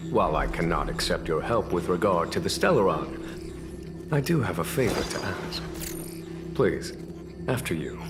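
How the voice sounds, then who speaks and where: Deep-voiced, courteous general. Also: male voice, expressive, loud music behind the voice. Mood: composed, courteous. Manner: unhurried, polite, diplomatic. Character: calm, courteous, shrewd male general of knights. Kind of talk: scripted video game cutscene acting.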